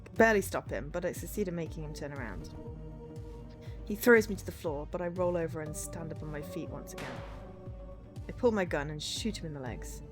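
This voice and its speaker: A woman with a fairly low voice reads a game's story aloud as she streams it. Faint music plays behind her narration. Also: lively intonation, fairly quick. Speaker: streamer playing a visual novel. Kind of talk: reading game narration and dialogue aloud.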